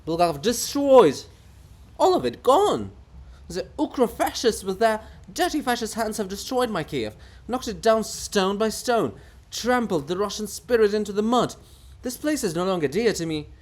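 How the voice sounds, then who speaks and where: Man acting a grandiose, high-pitched ranting statue. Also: sing-song, normal speed. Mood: fanatical ranting. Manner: pompous, theatrical declaiming. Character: ranting, grandiose Bulgakov monument, vain and fanatical. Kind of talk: dramatic reading of a stage farce.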